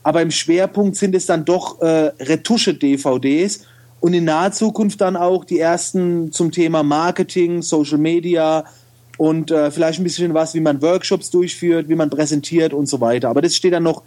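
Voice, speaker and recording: Man, regional German dialect, high-pitched voice, chatty and loose, guest in a podcast interview. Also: steady tone, moderate pace, dark tone. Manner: chatty, loose, many fillers and restarts. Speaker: guest, photo retoucher and video trainer. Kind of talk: casual interview talk.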